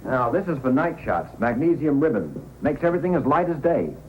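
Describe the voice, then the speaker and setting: An actor playing an eager, earnest newspaper reporter in an old-time radio adventure. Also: male voice, medium pitch, sing-song, brisk, lightly acted, rough recording. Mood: confident. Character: eager, earnest young newspaperman from New York. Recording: old radio recording, background noise.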